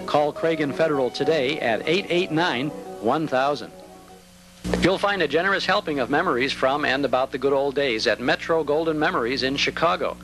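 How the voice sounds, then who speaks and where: A man reading scripted advertising copy as a commercial announcer in a polished, measured way. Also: a little high, over music, low-quality recording.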